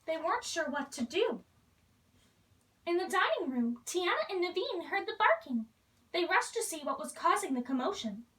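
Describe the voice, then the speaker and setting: A woman reads a children's story aloud in an expressive storybook style, playing the role of a princess. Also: very high-pitched, normal speed, distant mic.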